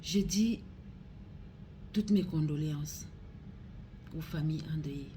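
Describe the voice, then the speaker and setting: A woman with a Cameroonian accent delivers a solemn public appeal in a low, mellow voice. She speaks very slowly and gravely, pleading in deliberate phrases, with a little noise in the recording. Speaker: regional councillor making a public appeal.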